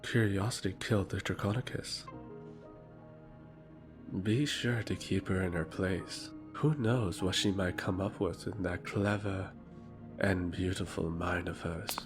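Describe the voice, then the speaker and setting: A man's voice: deep voice, fairly even intonation, unhurried. An actor playing a sly, menacing nobleman in a fantasy audio drama, condescending and formal in his manner. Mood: veiled threat. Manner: formal, insinuating, patronizing. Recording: music under the voice.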